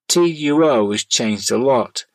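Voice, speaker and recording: A man's voice: medium pitch, lively intonation, measured pace, dry recording, low-quality recording. A middle-aged man reading scripted narration and listener letters aloud as a podcast host.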